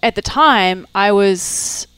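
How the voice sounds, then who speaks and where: A woman, a fitness coach guest talking casually at home in a halting way, with many fillers and trailing lists. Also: medium pitch, fairly bright tone, clear, resonant tone, dry recording. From New Zealand. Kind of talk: casual interview chat.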